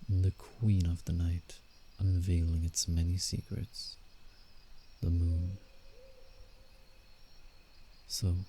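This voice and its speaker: A very dark, mellow werewolf, acted by a man, speaks low and slowly in a roleplay ASMR piece. The creature is gentle and protective, soothing a fragile human with faint condescension. Night insects chirp behind the voice. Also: creaky, full character voice. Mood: reverent, calm. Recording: steady night insect ambience, background noise. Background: crickets and night forest ambience.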